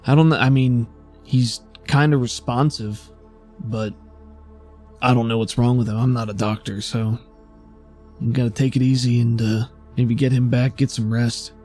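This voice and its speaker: A man's voice: medium pitch, fairly even intonation, normal speed, darkish tone, faint music behind, lightly acted. A man playing a practical, slightly unsure regulator in a tabletop game, speaking casually and hesitantly. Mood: matter-of-fact, unsure. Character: matter-of-fact law regulator, unhurried, mildly unsure.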